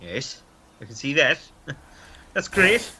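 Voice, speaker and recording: A man's voice: a little high, sing-song, slow pace, sharp tone, exaggerated voice. A man acting an easygoing, dismissive Irish village policeman in an amateur radio play, breezy and placating. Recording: faint background hiss.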